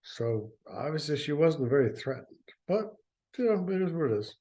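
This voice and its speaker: A man's voice: a little high, big pitch swings, normal speed, dark tone. A man reading a story aloud and breaking off into sarcastic, mocking asides, often stammering. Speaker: reader and commentator. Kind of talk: dramatic reading with sarcastic commentary.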